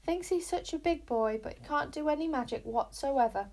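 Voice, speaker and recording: A woman's voice: a little high, fairly even intonation, fairly quick, natural voice. A woman voicing a mocking boy wizard who bullies his rival, taunting and crowing.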